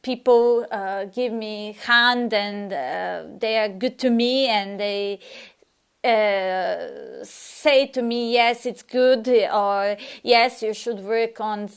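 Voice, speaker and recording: Woman teacher, serbian native, talks slow with lots of uh and like, smooth clear voice. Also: medium pitch. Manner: hesitant, many fillers and restarts, answers a viewer by name. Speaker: language teacher. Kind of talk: casual live language lesson.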